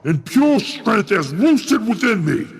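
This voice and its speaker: Pompous, creaky-voiced giant zealot. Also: male voice, very animated, slow pace, heavily acted. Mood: pompous, solemn. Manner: grandiose, formal, booming when worked up. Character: pompous, fanatical giant muscle-bound man with monstrous body. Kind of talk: anime fan dub acting.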